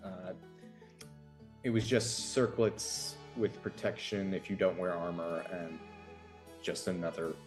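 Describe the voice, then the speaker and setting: A man's voice: sits low, slow, long pauses, over music. A man chatting casually as a player in a tabletop game.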